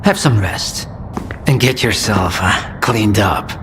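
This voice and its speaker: An actor playing a condescending, smug scientist in a video game cutscene, speaking in a measured, deliberate and dismissive way. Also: male voice, on the low side, lively intonation, noisy background. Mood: courteous. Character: smug, condescending male scientist running secret experiments.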